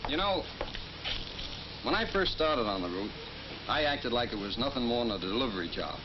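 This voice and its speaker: A man's voice: mid-range voice, animated, normal speed, lightly acted. A man with an American accent playing a folksy, eager bread route salesman explaining his job in a 1950s training film. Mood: confident, explaining. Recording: noisy, muffled 1950s film soundtrack.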